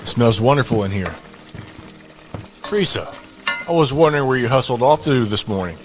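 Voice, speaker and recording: A man's voice: medium pitch, lively intonation, moderate pace, over music, close to an everyday voice. An actor playing a curt, commanding man who demands loyalty, blunt and authoritative, in an audio drama. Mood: calm, curt.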